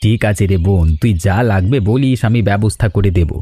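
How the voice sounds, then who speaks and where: A man voicing an earnest, protective big brother who works hard at a garage, in an animated story. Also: on the low side, normal speed, breathy edge, dry recording. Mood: tender, protective. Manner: earnest, familiar.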